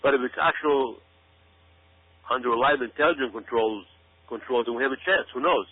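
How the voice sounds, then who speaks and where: A man's voice: medium pitch, normal speed, breathy edge, no room sound. A man giving conspiracy-minded commentary as a radio show guest, speaking emphatically and repeating words and phrases for stress. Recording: narrow, phone-like radio sound.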